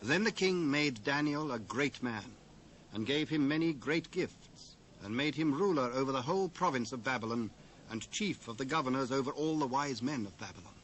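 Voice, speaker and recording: A man's voice: medium pitch, lively intonation, moderate pace, a bit bright. A man with a British accent narrating scripture in a formal style. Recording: noisy old cassette dub.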